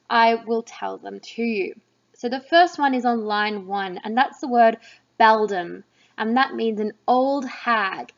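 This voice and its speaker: A woman teacher giving plain, explanatory commentary on a text, as in a lesson. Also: fairly high pitch. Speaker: English teacher. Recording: dull, muffled lo-fi recording.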